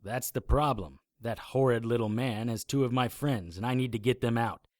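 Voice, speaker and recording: An actor playing a grim, deadpan occult investigator who narrates his own adventure in a noir audio drama. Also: mid-range voice, normal speed, dry recording, clean studio sound, lightly acted. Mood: guarded, urgent.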